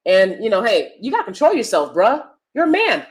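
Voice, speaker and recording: Loud, emphatic woman commentator. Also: mid-range voice, huge pitch swings, fairly quick. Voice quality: loud, projecting stage voice. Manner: emphatic, swings between loud and soft asides. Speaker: commentary vlogger. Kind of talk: opinion commentary to camera.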